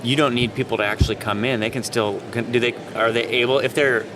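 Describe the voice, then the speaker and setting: A man's voice: mid-range voice, steady tone, quick pace. A man hosting a podcast, asking questions in a casual, chatty style. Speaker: podcast host, interviewer. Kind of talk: casual chat. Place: live on site at a convention. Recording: noisy live recording with background hum.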